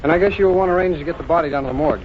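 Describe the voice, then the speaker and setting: An actor playing a calm, methodical federal agent in a 1940s radio drama, talking in a matter-of-fact, businesslike way. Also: male voice, on the high side, racing, slight background noise, natural voice. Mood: investigating. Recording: muffled 1940s radio broadcast.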